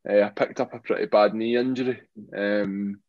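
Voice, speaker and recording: A man's voice: fairly low pitch, steady tone, normal speed, no echo, lo-fi sound. A young Glaswegian man, a rugby player turned boxer, answering interview questions in a rambling way, full of fillers and repeated phrases. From Scotland.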